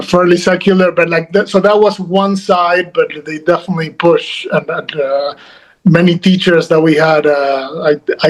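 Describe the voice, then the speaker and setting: Male guest author, slightly high voice, halting delivery with frequent fillers, video call. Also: low-quality recording. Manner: halting, many fillers and restarts. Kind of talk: conversational interview.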